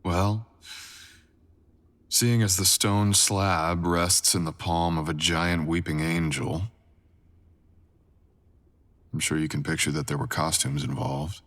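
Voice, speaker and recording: A man playing a brooding, possessive, dangerous creature boyfriend in an intimate ASMR roleplay, husky and breathy, murmuring teasing commands. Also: sits low, fairly even intonation, fairly bright tone. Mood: playful, coaxing. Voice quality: husky, breathy, often dropping to a whisper. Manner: slow, teasing, murmured commands with deliberate pauses.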